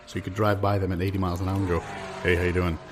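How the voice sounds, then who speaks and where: A man improvising comedy banter with a mock-earnest, deadpan manner. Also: low-pitched, rapid-fire, a little hiss or hum.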